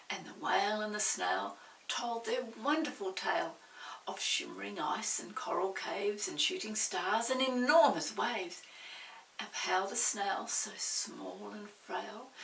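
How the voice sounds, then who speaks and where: An older woman reading a story aloud to young children, expressive, pausing to explain and ask the listener questions. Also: mid-range voice, vocal fry. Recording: roomy, distant mic with faint hiss.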